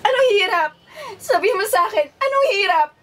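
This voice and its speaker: A woman's voice: very high voice, lively intonation, moderate pace, a bit bright. A woman acting a hurt, furious betrayed wife and mother in a radio drama. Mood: tearful anger.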